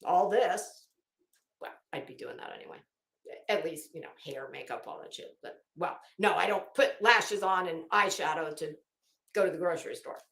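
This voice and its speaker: A woman's voice: on the low side, moderate pace, vocal fry, a bit bright, echoey room. A woman delivering an unscripted, opinionated commentary rant as an online host, sarcastic and taunting, with theatrical emphasis.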